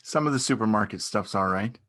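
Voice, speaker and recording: Low-pitched male actor as a shy beekeeper; halting, understated, earnest stage dialogue. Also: sharp tone. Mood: mild, hesitant. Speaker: amateur or local theatre actor. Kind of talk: naturalistic dramatic reading of a stage dialogue.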